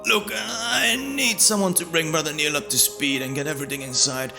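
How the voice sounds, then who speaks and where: Man acting earnest, urgent monk, raised pitch. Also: moderate pace, creaky. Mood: urgent, insistent. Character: earnest, worried monk healer, kindly but urgent. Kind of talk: audio drama character acting. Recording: music bed under the voice.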